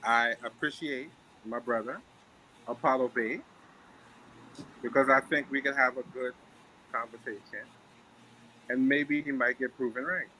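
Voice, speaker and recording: Bright-voiced male guest, faint noise. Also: medium pitch, steady tone, a little slow, pauses often, rough recording. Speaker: panel guest. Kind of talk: thanking the host.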